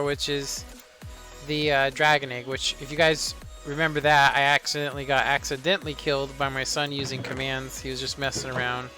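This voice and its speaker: Guy in his 30s on a live stream, monotone, rambly, kinda high and bright. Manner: relaxed, rambling, many fillers. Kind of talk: casual live-stream chat while playing. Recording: faint background music.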